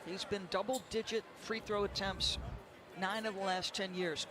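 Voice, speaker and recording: A woman's voice: medium pitch, steady tone, normal speed, noisy background. A woman giving live analysis as a color commentator on a basketball broadcast.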